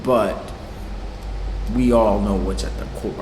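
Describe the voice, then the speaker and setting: A man's voice: fairly low pitch, fairly even intonation, slow. Laid-back Haitian-born man in an echoey room. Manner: loose, swearing, laughs easily, lots of fillers. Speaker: interviewed music producer. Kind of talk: casual interview chat. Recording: echoey room with long reverb and clear background noise.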